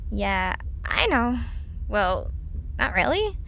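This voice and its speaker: A woman's voice: medium pitch, big pitch swings, takes it slowly, gravelly, pushed and bright, slight background noise, full character voice, rough recording. A woman acting a skeptical, insistent young twin sister in an audio drama, speaking conversationally over some background ambience.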